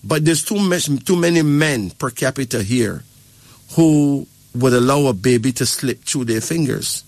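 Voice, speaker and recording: A man's voice: medium pitch, expressive, measured pace, darkish tone, no echo. A man from Barbados, giving advice in a lecturing way and often checking in with "right?" or "okay?". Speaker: spiritual advisor. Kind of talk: casual advice talk.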